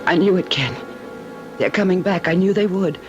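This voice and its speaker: An actress in an old-time radio drama playing a frightened but stubborn wife, with a halting, haunted delivery. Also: female voice, lowish voice, loud music behind the voice, lightly acted. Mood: accusing, determined. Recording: dull, noisy old radio recording.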